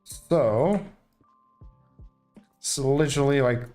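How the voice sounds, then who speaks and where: A man's voice: mid-range voice, animated, takes it slowly, dark tone, faint music behind. Man thinking aloud. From Portugal. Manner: thinking aloud while building, casual and chatty. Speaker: streamer. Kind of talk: live gameplay commentary.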